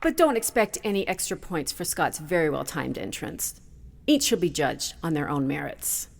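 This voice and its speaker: A woman playing an exacting, effusive high school drama teacher with a grand theatrical manner in an audio comedy. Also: big pitch swings, moderate pace, creaky, heavily acted. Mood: approving, stern.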